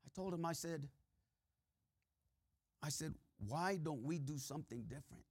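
A man with a Mexican-Texan accent preaching a sermon, full of "uh" and "amen" fillers, swinging between loud preaching and quiet asides. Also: on the high side, moderate pace, husky, no echo. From Mexico and Texas.

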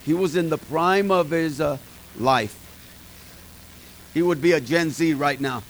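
A man's voice: a little high, measured pace, rough recording. Middle-aged male pastor, folksy and emphatic, light hiss in the room. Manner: folksy, emphatic, talks to the congregation directly. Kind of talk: informal sermon to a small group.

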